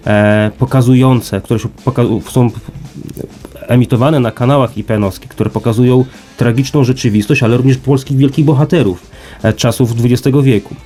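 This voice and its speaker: A man with a soft, dark, relaxed tone explains things formally as an interview guest. He speaks emphatically, in repeated phrases and lists, with music behind his voice. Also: mid-range voice. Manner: emphatic, rhetorical repetition and listing. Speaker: institute representative, interview guest.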